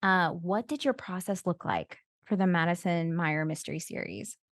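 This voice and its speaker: A woman's voice: on the low side, moderate pace, dry recording. Woman podcast host, easygoing interview chat, laughs readily, close dry sound. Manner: chatty, laughs easily. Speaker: audiobook narrator. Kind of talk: casual conversational interview.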